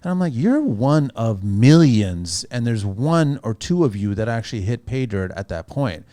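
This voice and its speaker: A man's voice: mid-range voice, very animated, normal speed, dark tone. A middle-aged man born in France to a Portuguese family, hosting a construction podcast and talking in a rambling, opinionated way. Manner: rambling, opinionated, with tag questions. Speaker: podcast host. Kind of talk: casual podcast conversation.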